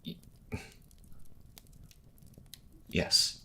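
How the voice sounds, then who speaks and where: An actor playing an earnest young priest healer in a fantasy audio drama, anguished and determined, with a hesitant, stammering delivery. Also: male voice, takes it slowly, sharp tone, slight background noise. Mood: solemn resolve. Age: young adult.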